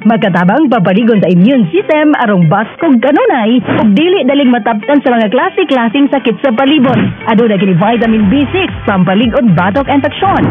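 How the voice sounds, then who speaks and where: A woman reading a scripted radio commercial as an announcer. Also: fairly high pitch. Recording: tinny radio broadcast over music bed.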